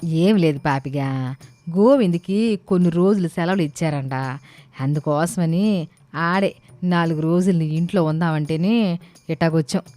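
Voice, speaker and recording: A woman's voice: on the low side, moderate pace, pauses often, warm tone, no room sound, close to an everyday voice. A woman playing a bossy, sensible older village aunt who talks in colloquial, scolding, speech. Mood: familiar, breezy. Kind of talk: animated moral-story dialogue.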